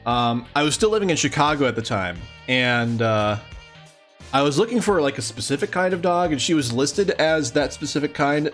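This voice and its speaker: A man's voice: a little high, lively intonation, moderate pace, a bit bright, soft background music. A man hosting a livestream, chatting casually and affectionately with his viewers. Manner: affectionate, cooing to a pet. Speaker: livestream host.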